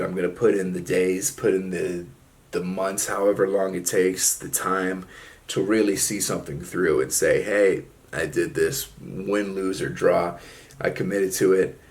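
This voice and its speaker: A man's voice: deep voice, vocal fry. A young man talking casually to his viewers in a laid-back reflective monologue, often dropping "man" and "like". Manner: laid-back, drawling, frequent fillers like "man" and "like". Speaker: solo video host.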